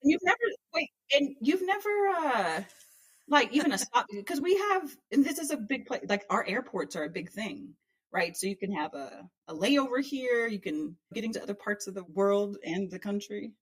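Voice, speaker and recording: A woman's voice: fairly high pitch, big pitch swings, normal speed, slightly rough. A woman from Texas in her thirties, a community organizer, answering interview questions in an earnest, reflective way. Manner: earnest and reflective, with many "like" fillers. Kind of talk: conversational interview.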